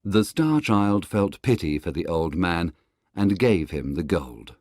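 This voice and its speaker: A man narrating a scripted fairy tale in a dramatic, deliberate storytelling manner. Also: low voice, lively intonation, a little mellow, no room sound, studio quality.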